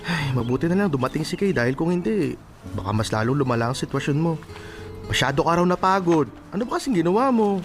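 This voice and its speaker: A man's voice: a little high, very animated, quick pace, soft background music. An actor playing a caring, easily exasperated young man devoted to his grandmother in a radio drama, earnest and mildly scolding, with a Filipino accent. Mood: concerned.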